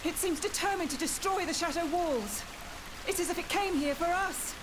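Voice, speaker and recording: Frightened noblewoman, high voice, urgent, anxious, pleading, game cutscene, hiss and rain noise behind. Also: normal speed. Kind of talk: video game cutscene acting. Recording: hissy, rain-like background noise.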